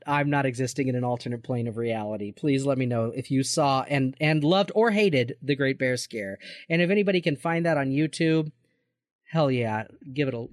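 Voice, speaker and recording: An American man in his forties chatting casually as a podcast co-host, in an excitable, jokey, sweary way. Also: mid-range voice, no room sound, clean studio sound. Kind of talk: casual chat.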